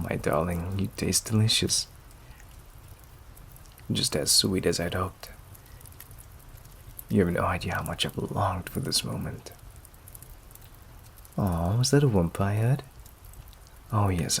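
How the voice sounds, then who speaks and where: Man acting a deep, gravelly, possessive vampire. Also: a little hiss or hum. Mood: tender, gloating. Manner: slow, silky, often breathy near-whisper, condescending endearments. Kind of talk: ASMR roleplay acting. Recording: steady rain ambience behind the voice. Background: rain.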